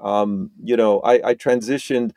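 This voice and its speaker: Gravelly, halting middle-aged man. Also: lowish voice, steady tone, dark tone, no room sound, clean studio sound. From Romania. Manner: halting, many restarts and repeated words. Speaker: podcast guest. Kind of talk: conversational interview.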